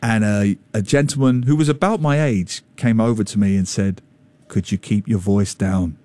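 Chatty man in his fifties, loud voice. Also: lowish voice, moderate pace, no echo. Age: 50s. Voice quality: slightly loud voice. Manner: chatty, jokey, animated storytelling. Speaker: radio show host. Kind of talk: casual radio talk. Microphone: studio microphone.

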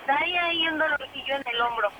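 A woman's voice: sits high, a little fast, lo-fi sound, background noise. A Mexican woman in her thirties calling into a radio show by phone, chatting cheerfully and joking with the host. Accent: Mexican Spanish. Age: 30s. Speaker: caller. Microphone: phone call.

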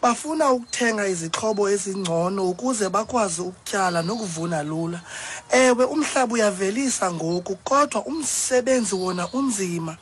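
A woman's voice: medium pitch, expressive, fairly quick, pitched below the performer's own voice, natural voice. Shy young farm boy, worried and earnest, with faint background noise; woman performing. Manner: hesitant, earnest.

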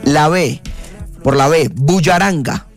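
Brief: upbeat male radio host, slightly high voice, quizzing his guest, faint music behind. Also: takes it slowly. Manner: upbeat, quiz-style questioning. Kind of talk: casual radio interview.

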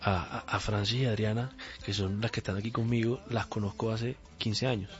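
A man's voice: lowish voice, fairly even intonation, brisk. A man with a Caribbean coastal Spanish accent talks as a guest on a radio show. He is cheerful and reflective and laughs easily. The recording is a little muffled, with faint music underneath. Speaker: guest singer. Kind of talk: radio interview. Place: radio studio.

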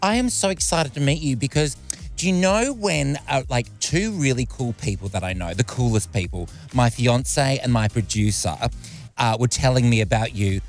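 A man's voice: medium pitch, animated, normal speed, faint music behind. A man with an Australian accent chatting as an interviewer in a gushing, enthusiastic way.

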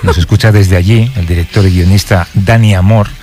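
A man's voice: deep voice, steady tone, a little fast, dark tone. A man with a Spanish accent from Spain hosting a satirical radio show in a conversational style. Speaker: radio host.

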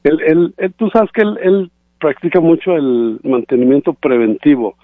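A man's voice: on the high side, moderate pace, no room sound. Man on a tinny phone line. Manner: explanatory, repeats points. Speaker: regular guest presenting sponsor. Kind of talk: casual radio chat, promotional. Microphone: phone call.

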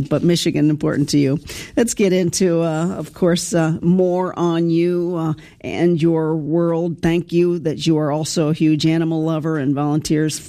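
Folksy woman radio host, low mellow voice. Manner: folksy, rambling, enthusiastic. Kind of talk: radio interview.

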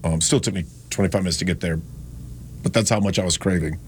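A man's voice: sits low, fairly even intonation, fast, a little hiss or hum. Male radio host, studio mic, rambling and self-mocking, casual chat. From Gastonia, North Carolina. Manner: rambling, self-deprecating, chatty. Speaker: radio talk show host. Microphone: studio microphone.

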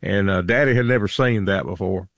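A man's voice: low voice, fairly quick, gravelly, no echo, clean studio sound. A man hosting a casual chat, telling folksy stories and joking about himself. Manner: folksy storyteller, laughs at own jokes. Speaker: host.

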